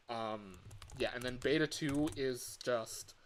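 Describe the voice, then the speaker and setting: A man talking casually on a livestream as a game developer, thinking aloud in a hesitant, halting way. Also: medium pitch.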